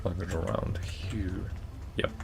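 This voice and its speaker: A man giving quiet, casual reaction commentary as the host of a gaming video, in a low voice. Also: steady tone, slow, vocal fry, music in the background.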